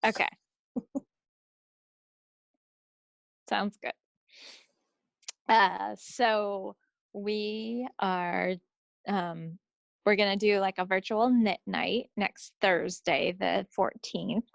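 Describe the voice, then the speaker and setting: Gravelly-voiced woman chatting casually. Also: mid-range voice, steady tone, very slow, pauses often, no room sound, lo-fi sound. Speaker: co-host of a knitting video podcast. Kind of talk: casual chat.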